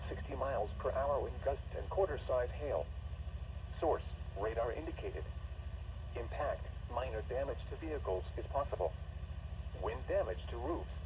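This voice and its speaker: Casting: synthetic male weather-warning announcer, formal and impersonal, measured scripted delivery, heard distant and muffled over a radio. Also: mid-range voice, noisy background. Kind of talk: scripted emergency weather bulletin.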